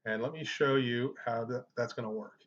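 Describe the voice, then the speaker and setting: Guy walking thru a software tutorial, chatty and explanatory, kinda muffled lo-fi mic. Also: mid-range voice, fairly even intonation, normal speed. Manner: conversational, explanatory. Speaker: genealogy tutorial host. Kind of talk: informal screen-share tutorial. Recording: dull lo-fi microphone sound.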